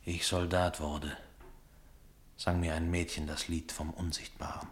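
A man acting a mysterious, homesick foreign soldier in a radio play, speaking solemnly and poetically in a measured delivery. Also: deep voice, flat delivery. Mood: solemn, brooding.

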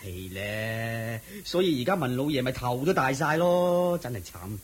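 An actor playing a harried, sympathetic neighbour in a Cantonese radio drama, confiding with sighs in a husky Hong Kong Cantonese voice. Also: male voice, medium pitch, unhurried. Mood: grave, gossipy. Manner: sighing, confiding, expressive. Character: harried, gossipy, sympathetic village neighbour man.

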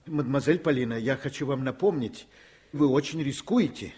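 An actor playing a kindly, stubborn middle-aged doctor and father, husky and courteous, in a radio drama. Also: medium pitch, normal speed, lightly acted. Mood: brisk, resolute. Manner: gentle, repeats words, courteous. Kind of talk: Soviet radio drama acting.

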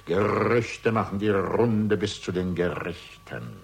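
A man's voice: deep voice, takes it slowly, vocal fry, full character voice. A man playing a wry, guarded realist in a German radio crime drama, with an ornate, ironic, emphatic way of talking. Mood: guarded, firm.

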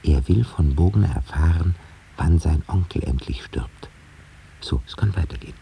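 An actor playing a dry, meddlesome waiting-room visitor, deadpan and formal, in a 1950s German radio play. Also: male voice, rumbling low, normal speed, creaky, heavily acted. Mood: dry, tattling. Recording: faintly hissy 1950s radio recording.

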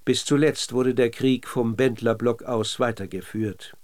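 A man narrating scripted documentary radio in a measured, factual manner. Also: medium pitch, steady tone, a little mellow.

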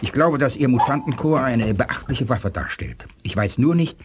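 Faint noise sits around a man acting as a dignified alien scientist in an eighties radio play. His mid-pitched voice is calm and courteous, with formal, measured phrasing. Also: close to an everyday voice. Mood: sober, doubtful. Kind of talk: 1980s German radio drama acting.

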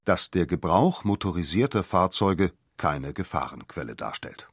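A man's voice: deep voice, expressive, normal speed, breathy edge, no room sound, clean studio sound. A man giving a formal scripted reading of quotations in an audio documentary.

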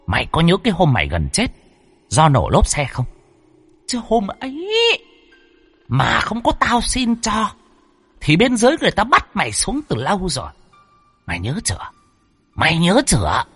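A man voicing a spirit medium possessed by a fierce aunt spirit, berating the family in a shrill, scolding way. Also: wildly swooping pitch, moderate pace, pauses often, fairly bright tone, slightly rough, faint music behind, heavily acted.

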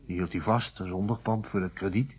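A man's voice: sits low, steady tone, fairly quick, a little mellow, breathy edge. An actor playing a cornered, defensive man under questioning in a radio play, apologetic and forever justifying himself. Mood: self-justifying. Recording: muffled vintage radio play recording.

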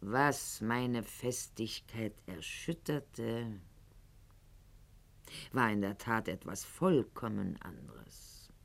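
A woman's voice: rumbling low, heavily acted. An actress playing a proud, witty, wounded aristocratic lady in a theatrical radio drama monologue, articulate and ironic. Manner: articulate, haughty, ironic, literary phrasing.

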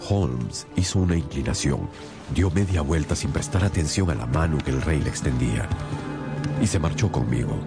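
Un actor que interpreta al leal compañero del detective y narra la historia en una radionovela, con música de fondo. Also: male voice, extremely low pitch, expressive, moderate pace, loud music behind the voice. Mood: measured, storytelling. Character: Holmes's loyal companion, male narrator of detective tales. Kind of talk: dramatised radio storytelling.

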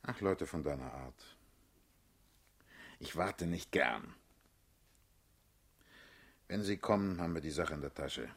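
Radio drama lead: brusque, paternal political boss, world-weary, very deep rough husky voice. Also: male voice, animated, slow, pauses often, a bit bright, full character voice. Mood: wearily brooding. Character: world-weary, commanding male party leader, blunt and sardonic. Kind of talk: German radio drama acting.

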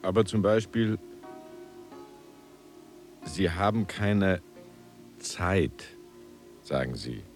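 An eager human space traveller in a radio play, low voice, slow, swings up and down, always questioning. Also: male voice, animated, soft background music, exaggerated voice. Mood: puzzled, probing. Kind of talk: German radio drama acting.

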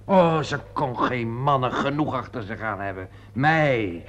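An actor playing a drunk, distraught theatrical impresario in a radio play, blustering and emphatic. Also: male voice, mid-range voice, unhurried, a bit bright, highs cut off, natural voice. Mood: bitter, defiant.

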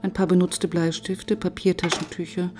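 An actress playing a determined police inspector who narrates and questions soberly and precisely in a radio crime drama. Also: female voice, on the low side, flat delivery, normal speed, natural voice. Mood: sober narrating. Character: determined female police inspector narrating her own case. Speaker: narrator and lead detective. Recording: music behind the voice.

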